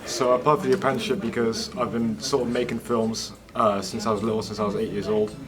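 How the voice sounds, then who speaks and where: A young man from Poland answers quickly, filling gaps with "like" and "basically". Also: lowish voice, little pitch movement. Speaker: film apprentice. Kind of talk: interview answers. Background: background music.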